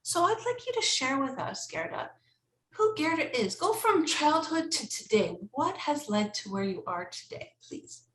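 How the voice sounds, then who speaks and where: A woman's voice: sits high, very animated, unhurried, a bit bright, recorded from a distance. A woman hosting a podcast who asks her guest questions in an enthusiastic, encouraging way. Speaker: podcast host. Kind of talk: interview questions. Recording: roomy, off-mic sound.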